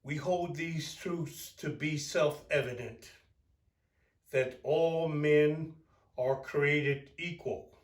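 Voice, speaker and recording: High-voiced man reading slowly, echoey. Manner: halting, short deliberate phrases. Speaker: reader at a public reading. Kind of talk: formal read-aloud of a historical declaration. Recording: echoey, distant microphone.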